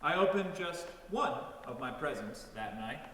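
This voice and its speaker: A man's voice: fairly high pitch, very animated, normal speed, a bit bright, a little hiss or hum. A man reading a story aloud as a dramatic narration. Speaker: reader in a church staff dramatic reading. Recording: very echoey hall, distant mic. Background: music.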